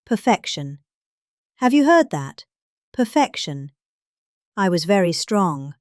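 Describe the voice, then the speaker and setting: A woman's voice: fairly low pitch, sing-song, slow pace, no echo, studio quality. A middle-aged woman with a British accent giving a dramatic comic reading of a speech, pompously, with exaggerated emphasis. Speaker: performer reading out a political speech.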